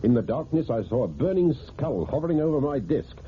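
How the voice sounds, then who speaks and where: An actor playing a precise, self-assured wealthy broker in an old radio drama, speaking formally and deliberately. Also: male voice, mid-range voice, big pitch swings, close to an everyday voice. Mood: grave, dramatic. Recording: tinny, noisy old radio recording.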